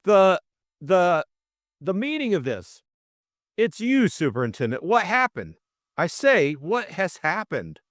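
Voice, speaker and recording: A pompous male official from an audiobook. He blusters and stammers in a high, outraged pitch that swoops up and down. The sound is dry and close. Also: big pitch swings, drawn-out words, few pauses, a bit bright, no room sound, heavily acted. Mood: spluttering indignation. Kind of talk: audiobook character acting.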